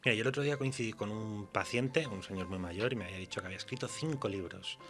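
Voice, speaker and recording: A man's voice: lowish voice, fast, fairly bright tone, soft background music. A man with a Spanish accent from Spain, answering informally as a writer in a radio interview, telling anecdotes in a chatty, colloquial way. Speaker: interview guest, fiction writer.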